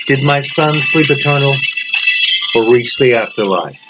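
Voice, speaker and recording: A man's voice: medium pitch, a little slow, close to an everyday voice. A man voicing a solemn, ancient mummified pharaoh with archaic, declaiming speech in a horror story. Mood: solemn questioning. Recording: dull lo-fi recording with loud background noise.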